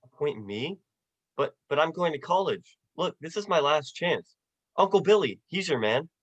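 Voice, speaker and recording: A man's voice: fairly high pitch, animated, moderate pace, no room sound, dull audio. A young man playing an earnest, frustrated small-town man in 1940s radio drama style, folksy and stammering. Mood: flustered.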